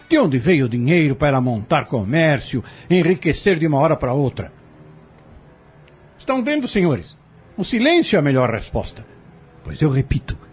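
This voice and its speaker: A man's voice: on the high side, slightly rough. A man acting a cornered defendant in an audio drama, defiant and argumentative as he feigns innocence. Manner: argumentative, rhetorical, insistent. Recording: muffled lo-fi audio with music.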